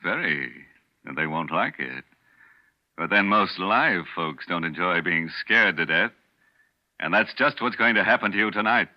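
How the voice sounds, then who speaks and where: An actor playing a ghoulish, sardonic horror show host in 1940s radio style, tongue-in-cheek and theatrical. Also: male voice, sits low, animated, a little slow. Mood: mock-genial menace. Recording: muffled 1940s radio recording with organ music.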